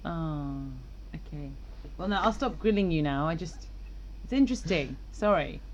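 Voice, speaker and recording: A woman's voice: sits low, expressive, normal speed, lo-fi sound, a little hiss or hum. A woman co-hosting a podcast in casual, teasing, opinionated chat. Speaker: podcast co-host.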